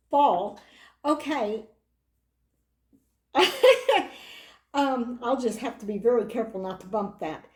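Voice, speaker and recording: A middle-aged woman with a hoarse voice hosts a casual board game chat, drifting into side remarks as she goes. Her voice sits a little high and she speaks slowly and unhurriedly. The room around her is echoey. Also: a little mellow. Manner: chatty and rambling, with side remarks and restarts. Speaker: board game channel host. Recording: roomy echo.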